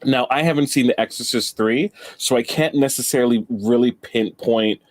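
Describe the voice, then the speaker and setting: Measured man with a mid-range voice. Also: medium pitch. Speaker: guest panelist. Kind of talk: casual debate about horror films.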